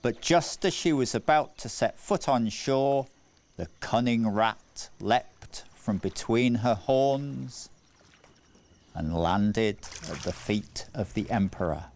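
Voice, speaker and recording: A man narrating a scripted children's story in a measured, deliberate way with dramatic phrasing. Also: lowish voice, lively intonation, a little mellow. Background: music.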